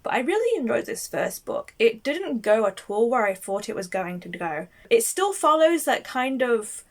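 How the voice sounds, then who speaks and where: Young woman, a bit croaky, casual chatty book vlogger, rambling. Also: a little high, big pitch swings, normal speed. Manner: chatty, rambling, lots of "um" and "I think". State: croaky from a cold. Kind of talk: casual book wrap-up chat.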